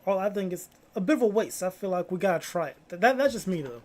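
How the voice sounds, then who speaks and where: A man's voice: high-pitched, expressive, fast talker. A young man chatting casually as a livestreamer, slangy and often repeating his phrases. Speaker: live streamer reacting to videos.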